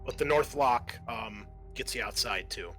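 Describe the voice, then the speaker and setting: Male game master, forceful bright tone, halting improvised narration, music behind him. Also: mid-range voice. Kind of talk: narrating a tabletop roleplaying game.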